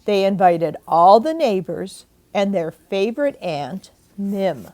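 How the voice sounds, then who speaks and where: An older woman reads a children's storybook slowly and deliberately. Her pitch moves in lively swings, and she turns to her young listeners with asides and questions as a grandmother would. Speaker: grandmother reading a picture book aloud. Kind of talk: expressive children's storybook read-aloud. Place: indoors at home.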